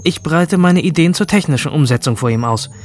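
A man's voice: a little high, a little fast, darkish tone, lightly acted. An actor playing an obsessive, rebellious male hacker from a virtual future world, narrating a radio drama in the first person. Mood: confident. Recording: music under the voice.

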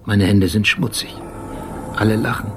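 An actor as brooding low-voiced male detective. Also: steady tone, normal speed, noisy background, natural voice. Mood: brooding, remembering. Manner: precise, terse storytelling in short sentences. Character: obsessive, brooding male police detective recounting memories. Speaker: actor in radio play. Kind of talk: scripted radio drama monologue.

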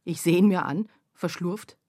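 A woman's voice: lowish voice, steady tone, normal speed, dark tone, no room sound, studio quality, lightly acted. An actress playing a sardonic, indignant woman observer in a scripted literary radio play. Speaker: performer in a literary audio piece. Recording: music behind the voice.

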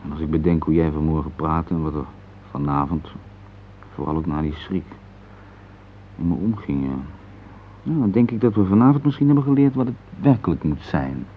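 Middle-aged husband, low voice, gently philosophical, halting, intimate radio drama, narrow muffled old recording. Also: male voice, lively intonation, a little hiss or hum. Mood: earnest, pondering. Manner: hesitant, pondering, searching for words. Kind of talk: intimate radio play dialogue.

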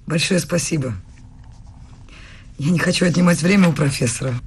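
A woman acting in a radio play as an eighteen-year-old philosophy student, eager, nervous and deferential, with a husky voice. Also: deep voice, normal speed, full character voice. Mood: flustered, eager. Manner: earnest, flustered, deferential.

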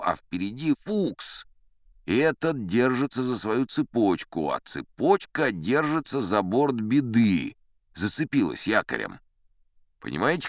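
A man narrating a scripted adventure tale in the first person, with theatrical, varied delivery and dramatic pauses. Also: lowish voice, dry recording, studio quality. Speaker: audiobook narrator.

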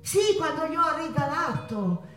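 An actress playing Frida Kahlo, a passionate woman painter confiding about her lovers in an intimate, halting theatrical monologue with playful teasing. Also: high-pitched, big pitch swings, a bit bright, soft background music, exaggerated voice. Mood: dreamy, tender. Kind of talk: theatrical stage monologue with dramatic pauses. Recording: echoey room, somewhat far from mic.

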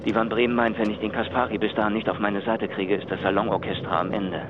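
An actor playing a hurried, apologetic man calling his lover in a German radio drama, as an answering machine message. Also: on the low side, little pitch movement. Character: hurried, apologetic male lover leaving message while travelling. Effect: answering machine phone message. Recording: phone line with traffic noise.

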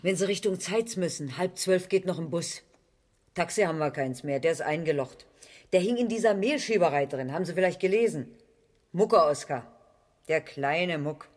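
An actress playing a talkative, brisk small-town station attendant in a colloquial 1970s German radio drama. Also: female voice, lowish voice, expressive, no room sound. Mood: chatty, gossipy. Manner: chatty, folksy, blunt.

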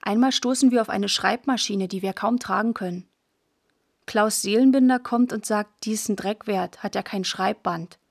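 A woman's voice: medium pitch, normal speed, gravelly, dry recording, clean studio sound. An actress playing a postwar schoolgirl who tells her story in plain, clipped first-person radio drama narration. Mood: matter-of-fact. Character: matter-of-fact postwar schoolgirl telling her scavenging adventures.

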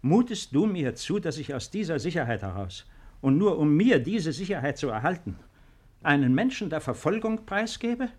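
Middle-aged father, steadfast, principled, measured phrasing, earnest, vintage radio play. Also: fairly high pitch, sing-song. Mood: firm, reproachful. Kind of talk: 1950s German radio drama acting.

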